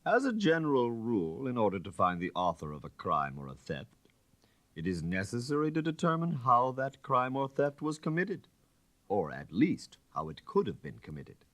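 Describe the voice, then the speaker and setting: A man voicing a suave, self-assured gentleman detective in an audiobook story, calm, precise and dogmatic. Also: medium pitch, normal speed, dry recording, pitched above the performer's own voice. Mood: confident, dogmatic.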